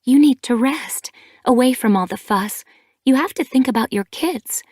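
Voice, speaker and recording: A woman voicing a gentle healer she-cat. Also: sits high, normal speed, dry recording, studio quality, pitched above the performer's own voice. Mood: reassuring.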